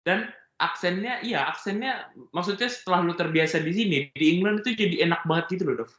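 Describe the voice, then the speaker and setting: A man's voice: sits high, brisk, a bit bright. A young man from the Riau Islands chatting in a laid-back, slangy way on a video call. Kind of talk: casual chat. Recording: echoey room, dull video call audio.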